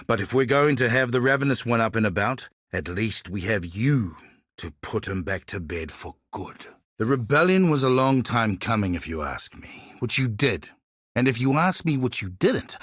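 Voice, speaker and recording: An actor playing a sly, world-weary male barber and informant in a video game, husky and wry in his phrasing. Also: lowish voice, a bit bright, no echo, studio quality, full character voice. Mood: grudging approval, then sober, reflective. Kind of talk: scripted video game character dialogue.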